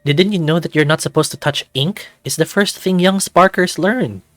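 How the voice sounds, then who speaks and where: A man's voice: fairly high pitch, moderate pace, no echo, voice pushed up, close to an everyday voice. A man voicing a young male panther student, defensive, matter-of-fact and chiding, in visual novel dialogue. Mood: irritated, chiding. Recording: background music under the voice.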